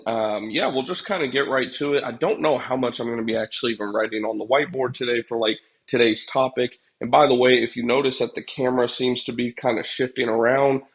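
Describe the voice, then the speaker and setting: A young man with a stuffed-up, congested voice hosts a casual livestream, rambling with lots of fillers and chatting to viewers. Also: medium pitch. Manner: rambling, filler-heavy, addresses chat viewers. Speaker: livestream host, pest control business owner.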